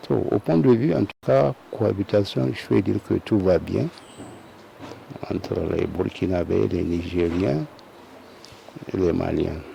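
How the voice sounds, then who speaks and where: A man from Mali answering an interview slowly and hesitantly, with frequent fillers. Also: sits low, vocal fry, mellow, slight background noise. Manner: slow, hesitant, with many fillers.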